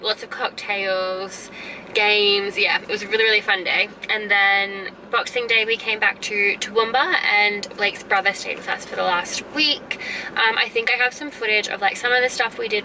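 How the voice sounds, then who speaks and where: A woman's voice: medium pitch, far from the mic, background noise. An Australian woman vlogger catching her viewers up in rambling, casual chat, her voice congested from a head cold and cough. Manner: rambling and chatty, full of "um" and "like".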